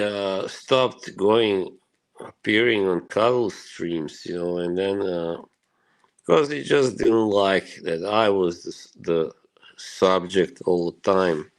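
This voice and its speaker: Bosnian-born streamer guy, really deep voice, slow and rambly, cussing a lot to his chat. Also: rough recording. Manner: rambling and profane, full of "you know" and short laughs.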